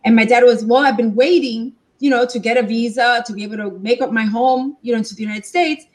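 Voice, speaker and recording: A woman's voice: a little high, fairly even intonation, a little fast. A woman from Cuba, a guest on a talk show, telling her family's story in a casual interview.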